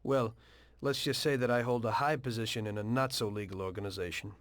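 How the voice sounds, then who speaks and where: An actor playing a guarded, businesslike mobster with an American accent, speaking in a measured, discreet way in a game cutscene. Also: male voice, mid-range voice, steady tone, dry recording, natural voice. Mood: confiding, businesslike. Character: adult male mobster, guarded, politely businesslike, confiding. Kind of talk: dramatic dialogue in a video game cutscene.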